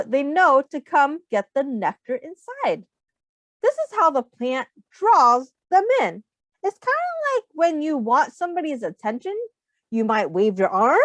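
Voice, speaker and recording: A woman reading a children's book aloud as a teacher, expressively, pausing to explain things simply and ask young listeners questions. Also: high-pitched, no echo.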